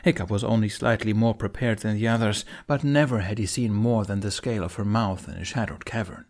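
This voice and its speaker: A man narrating a fantasy adventure audiobook in an expressive, scripted storytelling style. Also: on the low side, fairly quick.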